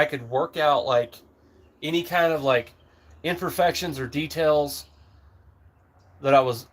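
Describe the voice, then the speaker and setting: A man in his thirties talks loosely and easily, full of fillers and half-finished thoughts. His voice sits at an ordinary middle pitch, and he keeps a relaxed, chatty flow as he shoots outdoors. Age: 30s. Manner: rambling, easygoing, filler-heavy. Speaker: livestream host. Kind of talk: casual live-stream chat while target shooting.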